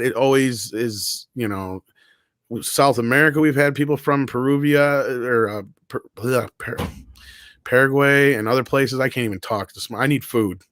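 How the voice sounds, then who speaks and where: A man's voice: medium pitch, fairly bright tone, clean tone, no echo, clean studio sound. A man co-hosting a livestream, chatting informally and reading out viewer comments, rambling and swearing freely. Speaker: co-host of an online panel show.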